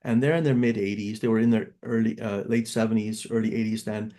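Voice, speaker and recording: A man's voice: fairly low pitch, fairly even intonation, brisk, mellow. A man in his fifties who grew up in Canada, hosting an online seminar as a financial advisor and speaking in a casual, conversational way with frequent filler words. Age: 50s.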